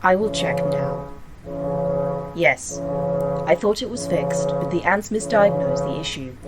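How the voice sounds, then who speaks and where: A woman's voice: a bass voice, measured pace. A woman playing a precise, composed facility A.I. in an audio drama, formal and matter-of-fact. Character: composed, precise female facility artificial intelligence. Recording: loud music under the voice.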